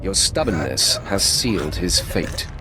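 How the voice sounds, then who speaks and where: A man's voice: low voice, big pitch swings, measured pace, over music. A male actor playing a ruthless, sardonic Sith warrior in a video game, with a cold and menacing manner. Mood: cold menace. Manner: cold, menacing, dryly arrogant. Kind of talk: video game dramatic acting.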